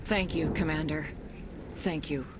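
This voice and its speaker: A woman's voice: steady tone, unhurried. An actress playing a trusting, determined middle-aged colonist mother in a video game, earnest and firm. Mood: worried, determined. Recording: noisy rumbling ambience behind voice.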